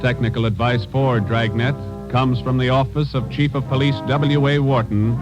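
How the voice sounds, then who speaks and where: An American man announcing from a script in formal, measured 1950s radio style. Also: medium pitch, fairly even intonation. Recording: dull vintage radio recording, faint music.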